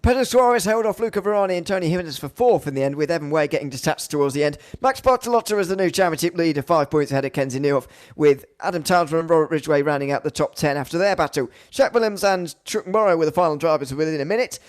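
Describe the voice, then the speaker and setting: A man hosting live sim racing coverage as commentator and interviewer, with somewhat halting, stop-start phrasing. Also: on the high side, dry recording, studio quality. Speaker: race commentator and host.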